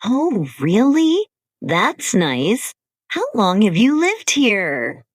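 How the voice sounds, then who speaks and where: Slow-spoken woman co-hosting a lesson. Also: mid-range voice, huge pitch swings, no room sound, clean studio sound. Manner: slow, carefully spoken, simple sentences. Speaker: podcast co-host. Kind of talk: slow scripted English-learning dialogue.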